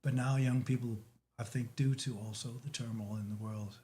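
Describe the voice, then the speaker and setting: A man from Denmark, speaking English as a second language, talks through his thoughts in slow pieces, starting over often as he searches for the right word. His pitch sits in an ordinary middle range. A faint ambient hum runs under the recording. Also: steady tone, warm tone. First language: Danish. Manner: halting, frequent restarts, searching for words. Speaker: guest. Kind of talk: casual chat.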